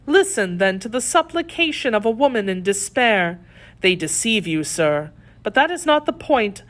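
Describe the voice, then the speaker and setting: A woman's voice: mid-range voice, very animated, normal speed, fairly bright tone, voice pushed up. A woman playing a captive noblewoman who implores with feigned pious despair in a dramatic audiobook reading. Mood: desperate pleading. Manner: melodramatic, imploring.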